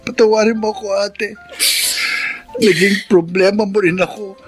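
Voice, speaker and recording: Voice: medium pitch, fairly even intonation, normal speed, breathy edge, faint music behind, natural voice. An actor playing a guilt-ridden younger brother in a Filipino radio drama, apologetic and pleading. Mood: remorseful.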